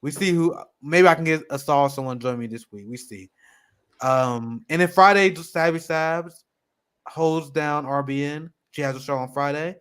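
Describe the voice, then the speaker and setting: A man's voice: fairly high pitch, moderate pace, a bit bright, clear, resonant tone. A man hosting a political livestream, casually running through the show schedule in an upbeat way. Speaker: host.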